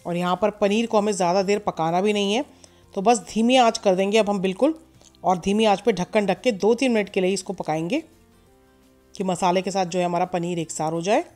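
Woman giving calm recipe instructions. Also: mid-range voice, fairly quick, faint music behind. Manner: calm step-by-step instruction, mixing in English words. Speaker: cooking channel host. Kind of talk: recipe voice-over.

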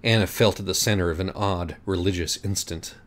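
Guy narrating an audiobook, lowish voice, theatrical n kinda sardonic. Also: a little fast. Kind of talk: dramatic scripted narration of a parody story.

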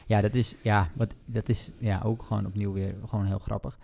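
A man chatting casually about books as a podcast host, speaking haltingly and hedging as he thinks aloud. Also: lowish voice, little pitch movement, creaky, a little mellow. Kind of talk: casual book discussion.

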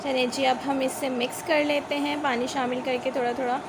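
A woman's voice: high voice, flat delivery, brisk. A woman hosting a home cooking video, chatty and homely, talking viewers through each step in a home kitchen. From Pakistan. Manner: homely and chatty, keeps saying "see" to viewers. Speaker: home cooking channel host. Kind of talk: step-by-step recipe voice-over. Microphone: phone or camera filming a countertop from above. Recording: noisy home phone recording.

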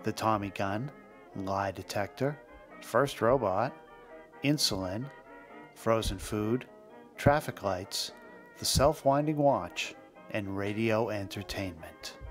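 Low-voiced man hosting a history podcast. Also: takes it slowly. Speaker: podcast host. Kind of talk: scripted history narration. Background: background music.

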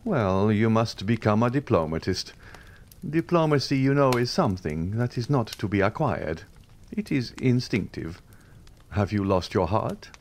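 A man voicing a suave nobleman who speaks in a smooth, half-jesting, courteous tone. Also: fairly low pitch, animated, measured pace, darkish tone, a little hiss or hum, voice pushed up. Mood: courteous, ironic. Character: suave, coolly ironic older nobleman, male.

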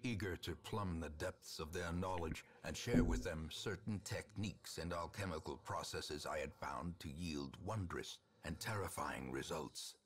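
An actor playing a sinister, remorseless old ancestor, narrating a gothic horror game in a grandiloquent, measured manner. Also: male voice, low-pitched, noisy background, natural voice. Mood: ominous, remorseless.

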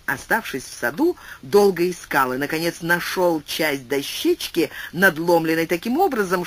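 An audiobook narrator giving a scripted literary reading and reading explanatory footnotes aloud. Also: breathy edge. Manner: animated reading with wide pitch swings.

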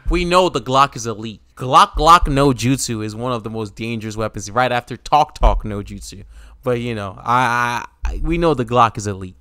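A young man chatting casually as a reaction video host, slangy and excitable. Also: medium pitch, normal speed, smooth, no echo, studio quality.

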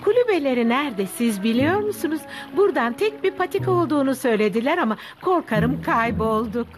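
A woman's voice: high voice, animated, fairly quick. A woman voicing a polite, kindly elderly grandmother in a dubbed children's cartoon. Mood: polite, warm. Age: older. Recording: loud music behind the voice.

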